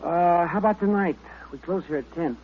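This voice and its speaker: An actor playing a friendly, plain-spoken masseur in an old-time radio drama, talking in a casual, colloquial way. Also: male voice, high-pitched, fairly quick, narrow, tinny audio.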